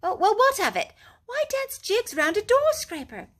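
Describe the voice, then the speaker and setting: A small, fussy male mole whines and grumbles in a high, tearful voice, as a woman reading the story puts him on. His complaints rise and fall widely. He sounds pettish and put out. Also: huge pitch swings, moderate pace, fairly bright tone, exaggerated voice. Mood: grumbling. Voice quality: high, whiny, crying tone.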